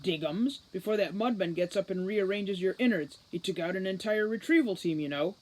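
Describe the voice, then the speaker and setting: A woman's voice: medium pitch, fairly quick, a little mellow, close to an everyday voice, rough recording. Woman doing a grumpy older fairy commander guy, barking threats and orders, cackly, audiobook. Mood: angry, threatening. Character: gruff, irascible older male fairy police commander. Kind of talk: audiobook children's fantasy character voice.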